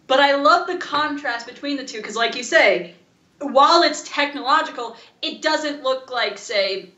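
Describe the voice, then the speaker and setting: Bright-voiced woman chatting in an echoey room. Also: sits high, moderate pace, lo-fi sound. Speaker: podcast co-host. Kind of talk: casual chat.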